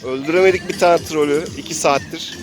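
A man's voice: fairly high pitch, fairly quick, music in the background. A man improvising narration for a tabletop role-playing game, telling the action as if he were living it. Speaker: game master and player.

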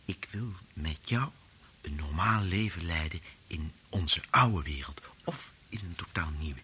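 An earnest, soothing scientist in an old radio drama. His voice is fairly low but sharply bright and swings widely in pitch. A faint hiss lies under the recording. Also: animated, a little slow, breathy edge, full character voice. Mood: hushed, earnest. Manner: soothing, earnest, often hushed. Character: earnest, gentle professor and inventor, idealistic. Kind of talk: Dutch radio play acting.

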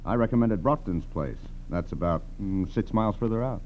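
A man's voice: lowish voice, a little fast, a little mellow, slight background noise, lightly acted, low-quality recording. An American man playing a helpful, folksy postal clerk in 1940s radio style, thinking aloud. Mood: helpful, pondering. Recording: humming old radio recording.

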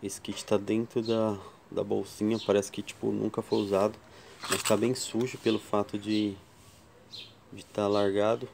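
Low, flat-voiced man showing tools. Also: gravelly, a little hiss or hum. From Brazil. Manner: slow, unhurried counting of sizes, chatty asides to viewers. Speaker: tool channel host. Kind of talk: casual show-and-tell vlog. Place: home workshop bench.

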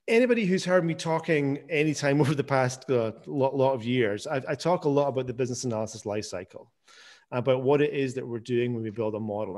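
A man's voice: medium pitch, a little mellow. A man giving an informal webinar presentation over a video call, with frequent restarts and repeated words. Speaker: guest presenter, software founder.

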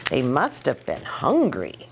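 A middle-aged woman reading a picture book aloud to young children, animated, with chatty asides and questions for her listeners. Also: a bass voice, slow pace, gravelly, noisy background. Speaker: children's ministry storytime host. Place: small indoor reading nook with bookshelf and curtains.

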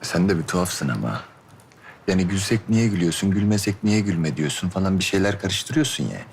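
Low-voiced gruff man. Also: expressive, fairly quick, a little hiss or hum. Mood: teasing, gruff. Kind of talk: naturalistic TV drama acting.

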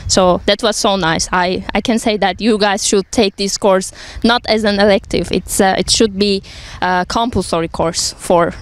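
A woman's voice: medium pitch, fairly even intonation, moderate pace, hardly any pauses, a little hiss or hum. A woman student giving a hesitant but enthusiastic testimonial.

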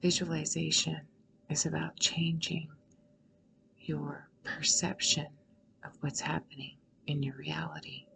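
A woman guiding a meditation in a soothing, unhurried way, with long pauses and breathy phrases that trail toward a whisper. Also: sits low, level tone, a bit bright, slight background noise, low-quality recording. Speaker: guided meditation host. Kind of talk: guided meditation narration.

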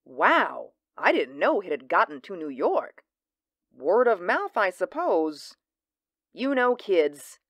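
A chatty, casual housewife, played by a woman in a radio drama. Her mid-pitched voice is lively and easygoing, recorded close and dry. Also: takes it slowly, no echo, highs cut off, full character voice. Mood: gossipy. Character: chatty suburban housewife, an old friend, easygoing. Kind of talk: audio drama acting.

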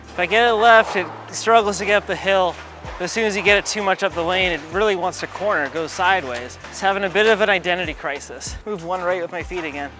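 A man's voice: sits high, quick pace, over music. A man in his thirties gives a casual bowling ball review in a large bowling alley, muttering play-by-play between throws. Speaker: pro shop ball reviewer.

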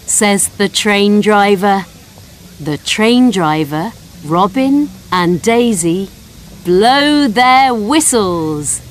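Woman narrator, storybook for toddlers, unhurried sing-song read, mid-pitched. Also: expressive, a little hiss or hum. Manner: very slow, clear, sing-song reading. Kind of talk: slow storybook narration for small children.

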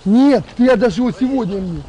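A man's voice: very high voice, animated, brisk, slight background noise. A man in his thirties from Donbas, a militia commander angrily threatening someone over a phone call outdoors on a road. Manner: angry, shouting threats and insults. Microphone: camera a few meters away, on a phone.

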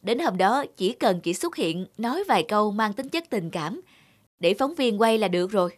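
A woman's voice: mid-range voice, lively intonation, normal speed, natural voice. A woman voicing a practical, scheming young female assistant in a cartoon, deferential in manner. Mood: businesslike. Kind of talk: cartoon dub acting.

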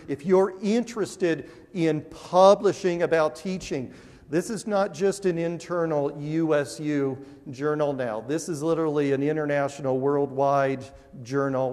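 A man in his forties delivers a conference welcome from an auditorium stage, his somewhat high voice carrying a long hall reverb. He sounds earnest and upbeat, often stumbling through fillers and restarts. A lapel mic picks him up on the big stage. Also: a little mellow. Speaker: university administrator giving welcoming remarks. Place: large dark auditorium stage.

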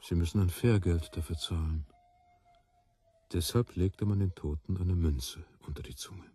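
A man's voice: sits low, dark tone, slight background noise. A man playing a grave, solemn narrator of myths in a radio play. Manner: solemn, measured.

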